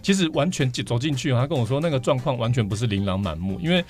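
A man's voice: mid-range voice, expressive, quick pace, a little mellow, faint music behind. A man with a Taiwanese Mandarin accent, talking and explaining at length as a guest in a casual podcast interview. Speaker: podcast guest, vinyl record collector.

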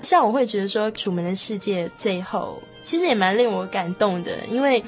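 A woman sharing reflections in a casual panel discussion, speaking in an earnest, expressive way. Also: mid-range voice, normal speed. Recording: music clearly behind the voice.

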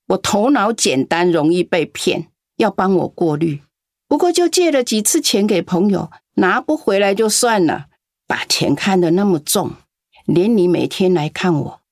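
A woman's voice: fairly low pitch, dry recording, clean studio sound, heavily acted. An actress playing a lonely, doting elderly grandmother in a radio drama. She talks in a coaxing, chatty way and coughs now and then. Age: older.

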